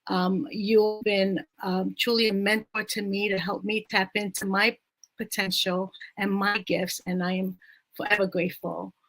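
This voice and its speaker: A woman hosts an interview show in a voice of middling pitch. She introduces her guest at a measured pace, with frequent pauses and small fillers. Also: flat delivery, fairly bright tone, rough recording. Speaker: host. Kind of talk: casual interview hosting.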